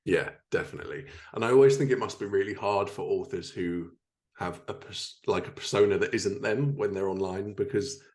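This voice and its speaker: A man hosts a casual interview. His voice is rather low, with a lot of creak and gravel in it. He speaks conversationally, as a podcast interviewer does. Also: brisk, a little mellow. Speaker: podcast host.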